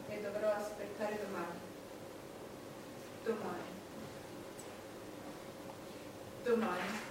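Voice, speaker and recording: An actress playing an imperious, vain, solitary English queen in a declamatory stage monologue with dramatic pauses. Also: fairly high pitch, far from the mic, close to an everyday voice. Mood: weary, brooding. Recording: noisy, echoey stage recording from afar.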